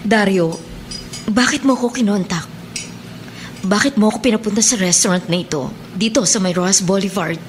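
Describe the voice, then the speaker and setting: A woman's voice: medium pitch, moderate pace, pauses often, music in the background, natural voice. A woman playing a remorseful middle-aged Filipino mother in a melodramatic radio drama, hesitant and stammering with emotion. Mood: uneasy, puzzled. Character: remorseful middle-aged mother who long ago left her son.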